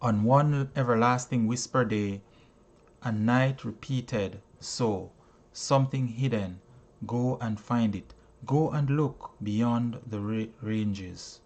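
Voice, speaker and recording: Man, mid-pitched voice, slow scripted narration, deliberate phrasing, frequent pauses, instructional audiobook style. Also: a little mellow, highs cut off. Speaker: audiobook reader, teacher. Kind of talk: scripted narration, self-help audiobook reading.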